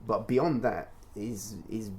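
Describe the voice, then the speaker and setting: Low-voiced man, reflective and encouraging. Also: slow pace, darkish tone. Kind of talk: informal testimonial conversation with a child.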